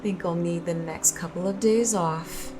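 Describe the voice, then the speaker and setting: A woman playing a cold, scheming, murderous mistress in an audio drama, calm, commanding and coolly persuasive. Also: lowish voice, unhurried, music in the background, natural voice. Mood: cool, sly.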